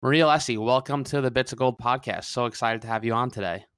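A man's voice: mid-range voice, fast talker, a bit bright, smooth, no echo, studio quality, soft background music. A man hosting a podcast, upbeat and enthusiastic as he introduces his guest. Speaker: podcast host.

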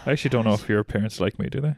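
A man's voice: on the low side, very fast, darkish tone, no room sound, studio quality. A man with an Irish accent bantering casually as a podcast co-host, teasing, joking and swearing freely. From Ireland.

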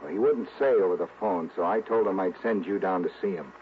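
A man acting in a 1960s radio drama as a businesslike contact who briefs an investigator in a deliberate, confiding way. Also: sits low, a little hiss or hum, close to an everyday voice. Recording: very muffled old radio broadcast.

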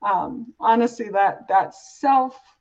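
A woman's voice: medium pitch, slow pace, a little mellow. A woman from Africa, speaking earnestly and emphatically as an interview guest, building points in repeated phrases. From East Africa, born and raised in Uganda. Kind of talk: reflective, earnest interview talk. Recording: dull, lo-fi audio.

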